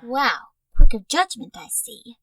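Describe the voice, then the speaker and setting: A woman voicing a guarded, dry-witted, well-spoken young creature girl in an animated drama. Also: sits high, unhurried, no echo, heavily acted, low-quality recording. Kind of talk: animated audio drama acting.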